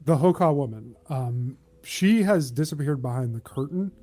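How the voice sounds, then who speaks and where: A man working as game master, narrating a tabletop game in a measured, descriptive way with halts mid-phrase. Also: medium pitch, expressive, mellow, soft background music.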